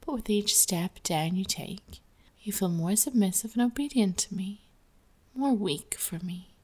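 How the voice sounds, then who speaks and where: A woman speaking softly in a slow, commanding, hypnotic way, often sliding into a half-whisper, as a scripted ASMR hypnosis roleplay. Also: mid-range voice, slightly rough.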